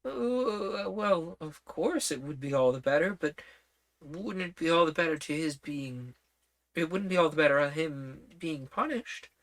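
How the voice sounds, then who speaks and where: A woman's voice: low-pitched, expressive, unhurried. A woman voicing a polite, curious young girl in a storybook reading, asking puzzled questions.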